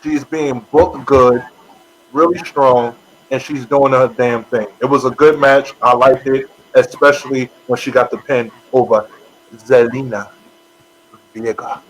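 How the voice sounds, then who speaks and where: A man co-hosting a casual wrestling podcast, talking in an animated way and addressing wrestlers directly. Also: medium pitch, measured pace, low-quality recording. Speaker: podcast co-host. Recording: faint background noise.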